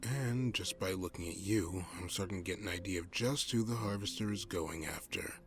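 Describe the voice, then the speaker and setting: A man playing a gruff, cynical, determined detective in an audio drama, blunt and condescending, with swearing. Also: sits low, moderate pace, soft background music. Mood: determined, cocky.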